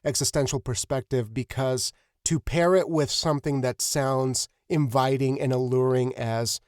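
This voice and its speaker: A man hosting an interview in casual, reflective talk, effusive and earnest with halting phrasing. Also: mid-range voice, fairly even intonation, darkish tone, no echo, studio quality. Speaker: podcast host, interviewer.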